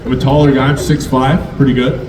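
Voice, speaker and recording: A man in his thirties from Alberta doing a self-mocking stand-up set, with a deep voice. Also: level tone, fast, distant mic, heavy background noise. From Canada, Alberta. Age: 30s. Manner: self-mocking, riffing with the crowd. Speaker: stand-up comedian. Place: comedy club stage. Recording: roomy live club audio from afar.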